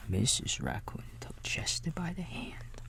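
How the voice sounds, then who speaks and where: Man, ASMR babysitter roleplay, whispered, gravelly creak, soothing, casual rambling bedtime reading. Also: on the high side, wildly swooping pitch, unhurried, fairly bright tone. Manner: soothing, rambling asides to a child listener.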